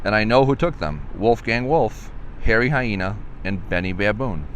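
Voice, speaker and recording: A blustering, insistent storybook fellow , read by a man. His voice is on the low side and accusing in manner. Some noise is behind him. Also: animated, normal speed, fairly bright tone. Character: blustering, accusing grown male storybook animal.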